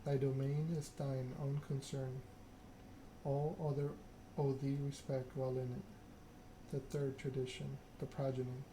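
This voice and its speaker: Male ASMR streamer, mid-pitched and flat in intonation, measured halting delivery, noisy ambient recording. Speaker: game streamer, host. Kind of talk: soft-spoken ASMR reading and stream chat.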